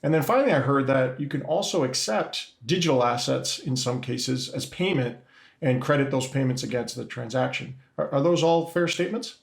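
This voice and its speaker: A man's voice: mid-range voice, expressive, moderate pace, creaky, roomy sound. A middle-aged man hosting a business interview, hesitant and full of restarts as he sums up his guest's points. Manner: hesitant, restarts often, summarizing.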